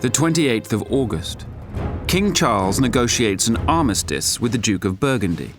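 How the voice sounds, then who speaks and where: A man's voice: lowish voice, big pitch swings, normal speed, over music. A man narrating as a solemn chronicler of war in a historical audio drama, in a scripted dramatic style.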